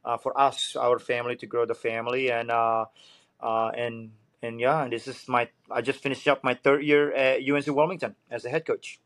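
A man's voice: mid-range voice, flat delivery, moderate pace, fairly bright tone, dry recording. A man in his thirties from Indonesia, speaking, is a swim coach guest telling his story earnestly and searching for words. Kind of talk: casual interview.

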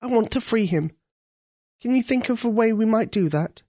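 A storyteller putting on an eager, high young girl. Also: animated, moderate pace, dry recording, clean studio sound. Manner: eager, earnest, bright-voiced. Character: brave young girl who can turn into a crow.

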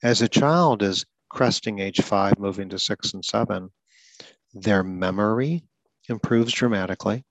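A man with a fairly low voice talks earnestly and explains things step by step. Now and then he repeats a word, as a person who stutters. The sound is dry and close to the mic. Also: unhurried, dry recording, poor audio. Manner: earnest, explanatory, occasional repetitions. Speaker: podcast host. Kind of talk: advocacy talk about stuttering.

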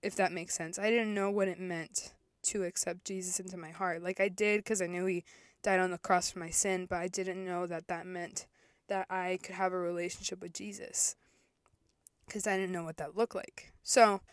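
A woman's voice: on the low side, fairly even intonation, moderate pace, smooth, dry recording, studio quality. A woman in her early twenties from El Salvador, hosting her own podcast and telling her story in a chatty, candid way that often corrects itself. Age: 20s. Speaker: podcast host. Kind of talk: casual faith podcast monologue.

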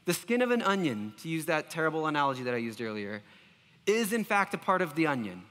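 A high-voiced man preaches with an earnest, self-deprecating manner, often stumbling into restarts. His tone is bright and forward, and a long reverb trails behind his words. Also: big pitch swings, fast. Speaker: pastor. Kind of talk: sermon.

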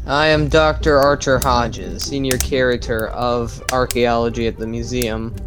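A pompous, villainous curator acted by a man in a radio whodunit. He talks slowly and formally, with faint music behind him. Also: medium pitch, fairly even intonation, natural voice. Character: pompous villainous male museum curator, a doctor. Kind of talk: old-time radio drama acting.

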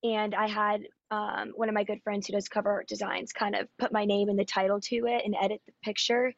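A raspy-voiced woman talks in an easy, upbeat way, dotted with ums and likes. The sound is dull and dry, with no room around it. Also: mid-range voice, level tone, a little fast, no room sound. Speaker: guest singer-songwriter. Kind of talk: casual interview chat. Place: Nashville area.

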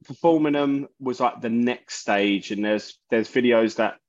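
Man, loud voice, halting, many restarts, middle pitch, muffled lo-fi audio. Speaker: musician guest, bassist and singer. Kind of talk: casual interview talk. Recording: dull lo-fi sound.

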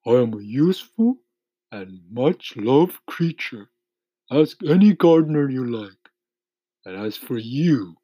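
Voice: medium pitch, animated, slow pace, dark tone, husky, no room sound, voice pushed up. A performer voicing a prim, indignant earthworm from a storybook who grumbles and complains. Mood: prim, offended.

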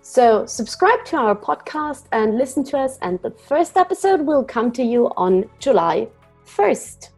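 Austrian woman co-hosting, mellow and high. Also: animated, a little slow, vocal fry, husky, soft background music. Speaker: podcast co-host. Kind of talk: scripted podcast teaser.

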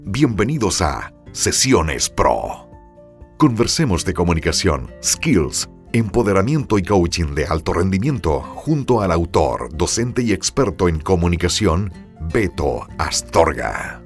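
A man announcing a show intro in a slow, emphatic scripted delivery. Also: low voice, big pitch swings. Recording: voice over guitar music.

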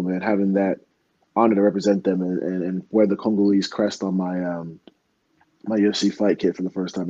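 A man's voice: sits low, mellow, no room sound, muffled recording. A young American man, a professional fighter, talking earnestly in a casual interview, rambling with many restarts. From United States.

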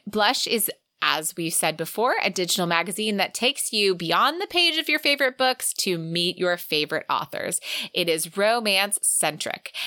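A woman's voice: on the low side, bright, forceful tone, no echo, studio quality. A woman co-hosting a podcast, speaking in a conversational presenting style. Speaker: podcast co-host. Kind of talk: conversational story recap and show notes.

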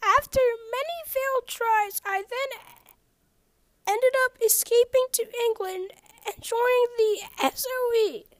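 A performer voicing a woman wartime spy as a podcast guest in an amateur scripted interview, reading aloud haltingly with small stumbles. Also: very high voice, fairly bright tone, no room sound. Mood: earnest recounting.

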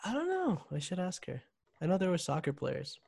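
A man's voice: high-pitched, huge pitch swings, fairly quick, creaky, darkish tone, husky. A man co-hosting a podcast, chatting casually while watching an old game, laughing often and joking. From Germany. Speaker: podcast co-host. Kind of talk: casual chat.

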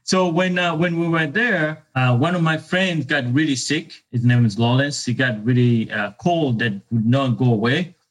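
A man's voice: mid-range voice, normal speed, few pauses. A man in his thirties from Uganda with a Ugandan accent, a business owner telling his story in an interview, hesitant, with many fillers and repeated words. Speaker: beverage business owner, guest.

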